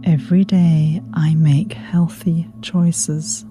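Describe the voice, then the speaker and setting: A woman leads a guided meditation in a low voice. She speaks very slowly and soothingly, letting phrases trail into soft breath. Music plays prominently behind her. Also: steady tone. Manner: soothing, unhurried, spaced-out phrases with repetition. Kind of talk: guided meditation with spoken affirmations. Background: meditation music with singing bowl.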